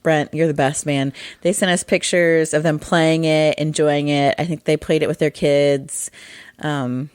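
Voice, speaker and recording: A woman co-hosting a podcast in casual chat, husky in her morning voice, chatty and laughing often. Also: low voice, steady tone, a little slow, a little mellow, no room sound, clean studio sound. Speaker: podcast co-host.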